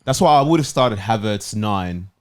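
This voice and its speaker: A man's voice: on the low side, expressive, normal speed, clear, resonant tone, no echo. A man talking casually as a fan panelist in a football discussion. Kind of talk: casual chat, football debate.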